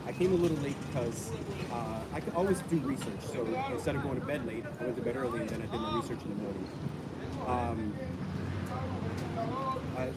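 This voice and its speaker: Man protesting on a noisy street. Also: mid-range voice. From Miami, United States. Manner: rambling and conversational between loud, declaiming chants. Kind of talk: street protest talk and shouted proclamations. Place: outdoors on a city street. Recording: distant voice, loud street and traffic noise. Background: traffic and urban ambience.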